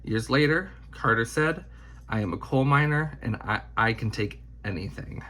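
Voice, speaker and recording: A man reading a children's picture book aloud in deliberate, emphatic phrasing. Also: mid-range voice, sharp tone. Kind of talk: scripted storybook read-aloud.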